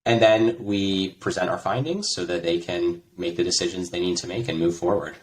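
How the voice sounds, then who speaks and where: An American man, a guest in a conversational interview, explaining his work in a relaxed way with frequent fillers. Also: low-pitched, moderate pace, low-quality recording. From United States. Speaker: interview guest, tech executive.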